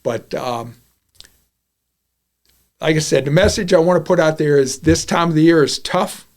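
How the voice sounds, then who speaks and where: A man's voice: fairly high pitch, normal speed. A man in his seventies hosting a podcast, talking candidly and bluntly, often repeating himself as he goes. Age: 70s. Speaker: podcast host. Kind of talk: casual podcast monologue.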